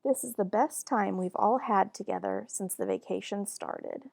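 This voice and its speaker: A woman reading a children's storybook aloud in an expressive, deliberate and animated narration. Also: medium pitch, creaky, dry recording. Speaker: children's book reader.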